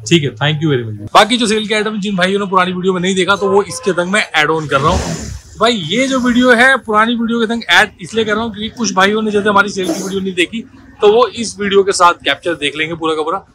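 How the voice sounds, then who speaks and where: A man selling car accessories in his shop, talking the viewer through each fitted part with a pushy salesman's pitch and calling them brother. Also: fast talker, sharp tone, slight background noise. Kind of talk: sales pitch walkthrough video.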